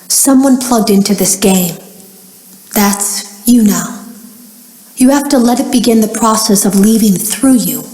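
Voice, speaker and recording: A woman playing an anxious game developer recording secret warning logs for a video game, speaking in a measured, grave way. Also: mid-range voice, warm tone, reverberant, close to an everyday voice, low-quality recording. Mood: urgent warning. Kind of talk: recorded log monologue, dramatic game voice acting.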